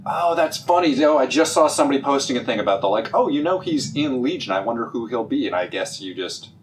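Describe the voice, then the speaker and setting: A young man chatting casually as a podcast co-host, his voice middling in pitch but jumping around a lot. He talks in halting bursts full of "like" and restarts. A faint hiss of noise sits underneath. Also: low-quality recording. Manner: animated, halting, lots of "like" restarts. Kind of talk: casual chat about a TV episode.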